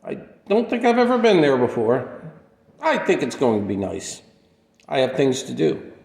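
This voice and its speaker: An actor playing a gentle, humble, lonely drifter in a radio play, speaking with polite, mild sincerity. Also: male voice, mid-range voice, big pitch swings, normal speed, vocal fry. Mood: content, friendly. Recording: echoey, reverberant room.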